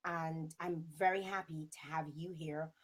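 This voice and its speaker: A woman's voice: sits low, steady tone, a little slow. A woman in her thirties, a talk show host chatting casually and expressively on a video call. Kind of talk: casual chat interview. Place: indoor room on a video call.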